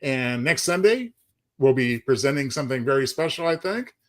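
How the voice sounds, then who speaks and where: A man's voice: mid-range voice, expressive, normal speed, a bit bright. A man co-hosting a casual livestream, genial and playful as he talks. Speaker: show co-host.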